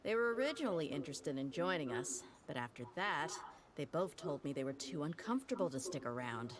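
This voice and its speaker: A woman playing a tough, easygoing young mercenary in an anime-style video game, speaking bluntly with relaxed confidence. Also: deep voice, brisk, crowd noise. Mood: teasing, easygoing. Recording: game audio with music behind.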